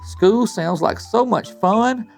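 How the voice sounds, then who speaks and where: A man voicing a big, friendly, eager dog in a children's storybook, with a childlike, excited manner. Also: high voice, slow, gravelly, soft background music, pitched above the performer's own voice, exaggerated voice. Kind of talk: children's storybook read-aloud.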